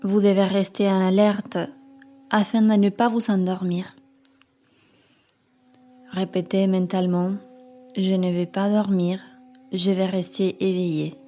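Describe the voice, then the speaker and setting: A woman yoga teacher calmly leading a guided meditation in measured, instructing phrases. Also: mid-range voice, lo-fi sound. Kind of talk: slow guided meditation narration.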